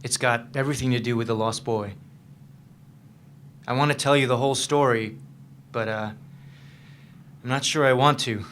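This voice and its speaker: A man's voice: medium pitch, fairly even intonation, moderate pace, long pauses, fairly bright tone, a little hiss or hum, natural voice. An actor reading the part of a troubled, guarded man in a staged reading of a novel, with a hesitant, understated delivery. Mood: uneasy, confiding. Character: troubled, guarded man confiding in his girlfriend, haunted by past.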